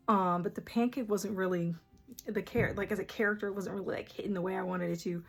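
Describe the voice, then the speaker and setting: A soft-spoken young woman with a very quiet voice, chatting casually about her art business and often trailing into fillers and restarts. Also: mid-range voice, husky. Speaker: artist explaining her small art business. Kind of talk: casual rambling vlog.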